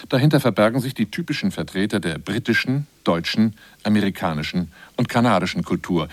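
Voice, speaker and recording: A man's voice: lowish voice, no echo. A man reading scripted narration for a radio documentary feature.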